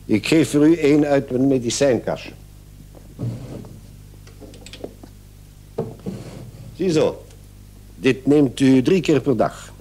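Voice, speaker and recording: An actor playing a brisk, authoritative doctor who is patronising and reassuring, in radio drama style. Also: male voice, medium pitch, animated, dark tone. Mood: brisk, instructive. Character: brisk, paternal male doctor, dismissive yet reassuring.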